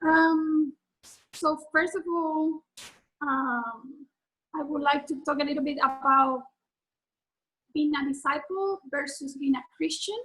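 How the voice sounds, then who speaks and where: A high-voiced woman in her forties with an Argentine Spanish accent, teaching over a video call. She speaks English as a second language, earnestly, with many fillers and restarts. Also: takes it slowly, pauses often, fairly bright tone, low-quality recording.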